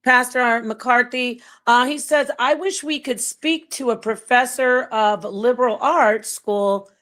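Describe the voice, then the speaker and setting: A woman's voice: fairly high pitch, fairly even intonation, a little slow, fairly bright tone. A middle-aged woman hosting a casual panel discussion, thoughtful and hesitant, often restarting her sentences. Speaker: panel host.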